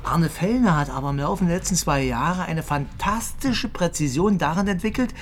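An actor playing a down-to-earth police detective in a naturalistic crime drama, talking in a colloquial, informal way. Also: male voice, a little high, lively intonation, normal speed, a little hiss or hum, lightly acted. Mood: matter-of-fact, explaining.

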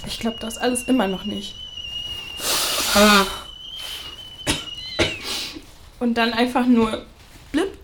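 A young actress playing a pragmatic, sceptical best friend in a naturalistic audio drama, speaking casually. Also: female voice, on the high side, steady tone, normal speed, close to an everyday voice. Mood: shaken. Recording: clear background ambience noise.

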